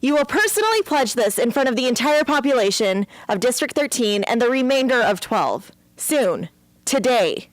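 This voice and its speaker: A woman's voice: fairly high pitch, big pitch swings, moderate pace, no echo. A woman playing a blunt, defiant teenage rebel heroine in a radio play, firm and curt. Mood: demanding. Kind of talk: amateur radio drama acting.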